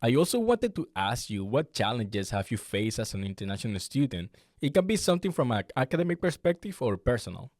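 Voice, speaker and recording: A man's voice: mid-range voice, very animated, brisk, a little mellow, no room sound, clean studio sound. A man hosting a student interview show, asking friendly, careful questions. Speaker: podcast host, student interviewer.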